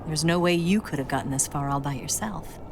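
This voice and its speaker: An actress playing a seductive, coolly guarded spy in a video game cutscene, speaking in a soft, intimate, teasing way. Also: female voice, deep voice, brisk, background noise. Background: game music.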